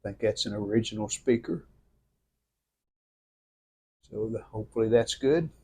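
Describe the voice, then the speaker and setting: A man giving an unscripted hands-on restoration walkthrough in plainspoken, halting short phrases. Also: lowish voice, darkish tone, husky. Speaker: radio restorer presenting own channel.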